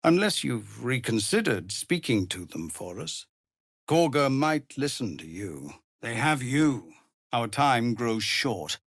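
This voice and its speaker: A noble, worn-down male leader of refugees for a video game. His voice is husky and grave, gravelly when strained, and recorded very dry. He speaks in a measured, formal way with an expressive, widely moving pitch. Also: dry recording, exaggerated voice. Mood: worried, urgent. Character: weary, honourable male leader of embattled refugees. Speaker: video game character actor. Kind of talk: dramatic game dialogue.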